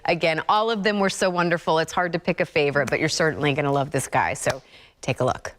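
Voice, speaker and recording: A woman's voice: low-pitched, fast. A woman co-hosting a morning TV show, gushing and enthusiastic as she chats with a guest. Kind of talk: upbeat TV chat. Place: TV studio.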